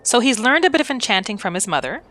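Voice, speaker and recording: A woman's voice: a little high, big pitch swings, quick pace, fairly bright tone, faint music behind. A woman narrating a character's backstory for a video game roleplay in a scripted storytelling style.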